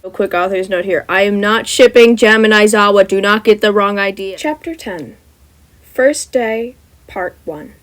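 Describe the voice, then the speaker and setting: Woman narrating a story, medium pitch. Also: moderate pace. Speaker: podfic narrator.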